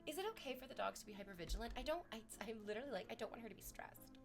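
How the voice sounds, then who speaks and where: A woman's voice: fairly high pitch, animated, rapid-fire, music in the background. A woman chatting casually on a livestream, rambling to her viewers and cooing at her dog in baby talk.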